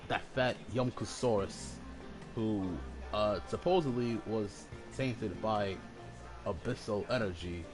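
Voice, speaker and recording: A man's voice: mid-range voice, low-quality recording. A man streaming a game and explaining the story casually, rambling with plenty of fillers. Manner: rambling, many fillers, relaxed. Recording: game music behind the voice.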